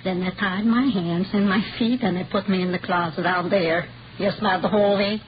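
An actress playing a frightened, indignant Swedish housemaid with a Swedish accent, giving witness in halting broken English in an old radio drama. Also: female voice, mid-range voice, steady tone, close to an everyday voice. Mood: frightened, recounting. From Sweden. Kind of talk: mid-century radio drama acting. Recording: dull, noisy old radio recording.

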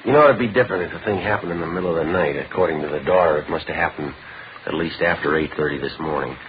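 Low, clipped, deadpan radio detective. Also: male voice, fast talker, background noise. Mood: matter-of-fact. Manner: matter-of-fact, deadpan. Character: terse, methodical male police detective narrating his case. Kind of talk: 1950s radio crime drama, clipped scripted narration. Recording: dull old radio broadcast with music.

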